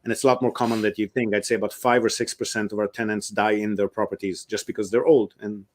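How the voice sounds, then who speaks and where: A man's voice: fairly low pitch, little pitch movement, fast. A man, a real estate investment advisor, answers interview questions at length, explaining step by step with frequent ums and uhs.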